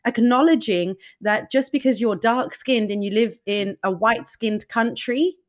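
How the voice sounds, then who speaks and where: A woman hosting an interview, animated and emphatic, with frequent restarts and laughs. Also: fairly high pitch, measured pace. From Denmark. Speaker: podcast host. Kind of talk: conversational interview.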